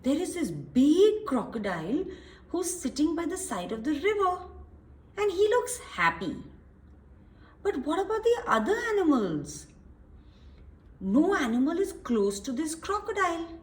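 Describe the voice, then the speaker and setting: A woman reading a story aloud to young children, expressively, explaining and asking questions with emphasis and repetition. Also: high-pitched.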